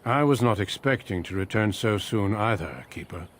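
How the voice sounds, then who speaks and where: An actor playing a calm, serious veteran warrior in a fantasy video game, speaking formally and deliberately. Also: male voice, lowish voice, slight background noise, lightly acted. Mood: grave, reserved.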